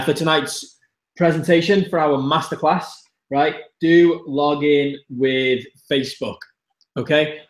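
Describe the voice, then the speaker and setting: A man from the north-east of Wales hosting a live online masterclass, talking casually and speaking straight to his viewers. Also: a little high. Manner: chatty, addresses viewers directly. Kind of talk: casual live-stream presentation. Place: room, Budapest. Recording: roomy echo.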